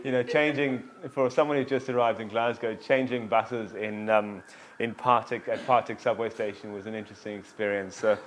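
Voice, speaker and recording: A man, a tour company founder telling his story in a relaxed, self-deprecating way, full of fillers and asides. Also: lowish voice, moderate pace, slight background noise. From South Africa. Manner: self-deprecating, jokey, rambling with many fillers. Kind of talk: casual interview storytelling.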